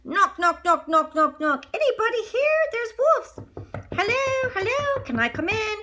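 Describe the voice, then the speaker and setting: A woman's voice: extremely high pitch, very animated, a little slow, bright, forceful tone, voice pushed up, exaggerated voice. A woman voicing a timid, lost old father in a storybook, flustered and pleading as he repeats himself. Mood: anxious, calling.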